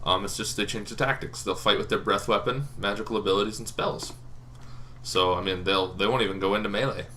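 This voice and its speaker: A man's voice: on the low side, fairly even intonation, a little fast, bright, forceful tone, slight background noise. A young man casually explaining tabletop game monsters, rambling with frequent ums and restarts. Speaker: tabletop game video host.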